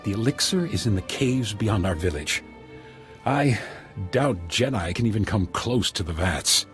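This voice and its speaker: An actor playing a scheming, scornful guard captain in a video game, insistent and persuasive. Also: male voice, on the low side, expressive, unhurried, fairly bright tone, faint music behind.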